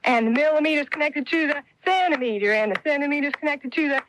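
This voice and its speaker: Woman as a high-voiced perky schoolgirl. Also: fast, close to an everyday voice. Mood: sing-song. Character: perky schoolgirl daughter, studious and know-it-all. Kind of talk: 1970s radio public service spot. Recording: noisy 1970s radio broadcast.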